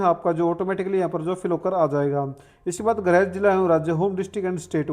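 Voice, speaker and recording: A man's voice: high voice, a little mellow. A man narrating a step-by-step tutorial in an instructional way, with repeated filler phrases. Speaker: online form tutorial presenter.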